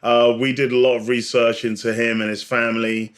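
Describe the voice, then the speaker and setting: A man's voice: medium pitch, level tone, brisk, clear, resonant tone. A man speaking as an interview guest, explaining and telling history in a conversational, searching way. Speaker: podcast guest, bartender and author.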